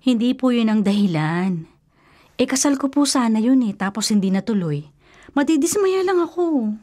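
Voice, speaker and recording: An actress playing a stubborn, superstitious elder sister, insistent and fretful, in a radio drama. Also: female voice, a little high, very animated, normal speed, warm tone. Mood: glum. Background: faint music.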